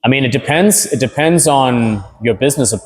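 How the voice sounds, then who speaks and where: A man's voice: mid-range voice, moderate pace, a little mellow, slight background noise, rough recording. A gym owner from Kenya answering interview questions in a casual, hesitant way, often repeating words as he thinks.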